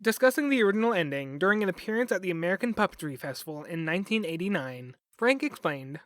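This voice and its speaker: A male podcast host narrates a scripted documentary in a high, very animated voice. He slips in wry asides, recorded dry and close with faint music behind him. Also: quick pace, no room sound.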